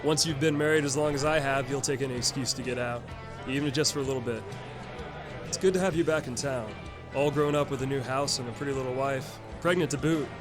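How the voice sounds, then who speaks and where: An actor playing a folksy, earnest retired uncle in an audio sketch comedy. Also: male voice, medium pitch, fast, music in the background, lightly acted. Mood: fatherly. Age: older. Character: retired fatherly uncle, earnest and matter-of-fact about monsters.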